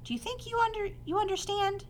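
A woman voicing a calm, wise female spider in a storybook, speaking in a brisk, precise, gently instructive and protective way. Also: very high-pitched, a little hiss or hum, voice pushed up. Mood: firm, motherly.

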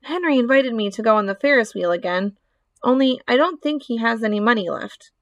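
A woman voicing an eager, excitable young farm girl in a storybook, pleading and earnest. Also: a little high, brisk, dry recording, dull audio, close to an everyday voice.

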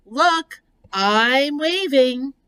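A woman's voice: a little high, animated, takes it slowly, a bit bright. A woman voicing a gracious, self-assured female spider in a storybook, with genteel, precise, composed speech. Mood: gracious, cheerful.

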